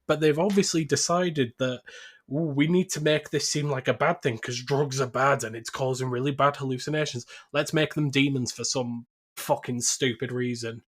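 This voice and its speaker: A man talking casually as a podcast host about film, opinionated and swearing freely. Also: fairly high pitch.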